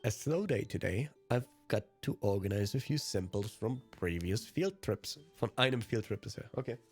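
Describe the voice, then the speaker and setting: Brief: male let's play host, native German, fairly deep voice, narrating a visual novel over music. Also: animated, moderate pace, husky. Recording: music behind the voice.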